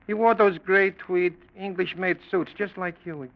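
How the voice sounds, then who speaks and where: Higher-voiced, hesitant store clerk. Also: male voice, fairly even intonation, unhurried. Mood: recollecting. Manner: folksy, rambling, hesitant. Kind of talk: 1940s radio drama acting. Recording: muffled old radio recording.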